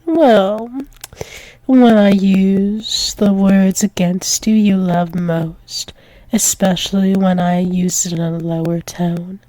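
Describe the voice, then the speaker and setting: Woman as a slow, mellow dominant alpha werewolf lover. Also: medium pitch, steady tone, no echo, natural voice, poor audio. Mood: teasing, possessive. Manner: coaxing, soothing, slow, often dropping into whispers. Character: dominant, possessive, doting female alpha werewolf demon lover. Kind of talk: intimate ASMR roleplay.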